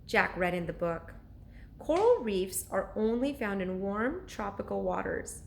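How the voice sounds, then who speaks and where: Woman, storybook read-aloud, very animated pitch, slow deliberate pace, teacher narrating, faint noise behind. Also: vocal fry. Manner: expressive, deliberate. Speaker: teacher reading aloud to pupils.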